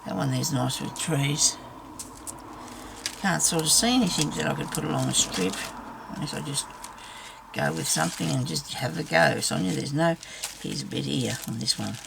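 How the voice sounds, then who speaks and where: Raspy low-voiced woman crafting. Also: lively intonation, normal speed, noisy background. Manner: rambling, self-chuckling, talks to viewers. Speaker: craft vlogger. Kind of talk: chatty crafting demo, thinking aloud.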